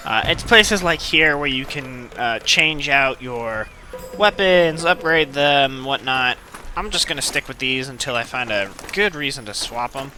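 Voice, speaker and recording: A man's voice: medium pitch, lively intonation, a little slow, soft background music. An American man giving casual, rambling, wry gameplay commentary. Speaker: gamer, let's play host. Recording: loud game gunfire behind voice. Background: video game gunfire and ambience.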